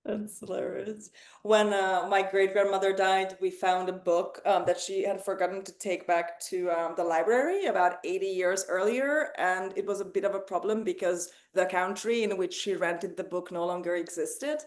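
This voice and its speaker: A woman from Hungary hosting a casual group discussion over a video call, quick and chatty with laughing asides. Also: mid-range voice, steady tone, echoey room.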